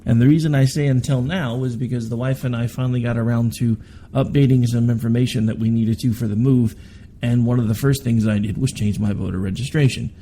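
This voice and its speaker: A radio host in his forties, worn down by long weeks of work, talks alone to his listeners in a loose, rambling way. His voice sits in a middle register with a mellow, darker color. A faint hum runs under the recording. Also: mid-range voice, fairly even intonation, brisk. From Oklahoma, United States. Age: 40s. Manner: rambling and candid, with asides and self-corrections. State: tired from overwork. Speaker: radio talk show host. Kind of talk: solo political talk radio monologue.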